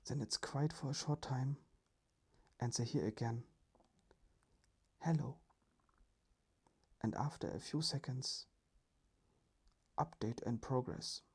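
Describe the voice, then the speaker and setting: A storyteller narrating a scripted children's tale in a soft, breathy ASMR whisper. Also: medium pitch, normal speed.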